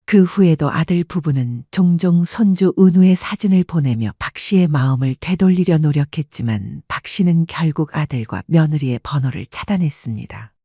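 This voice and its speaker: A woman narrating a scripted audiobook story in a calm, even manner. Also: sits low, moderate pace, hardly any pauses, slightly rough, dry recording, highs cut off.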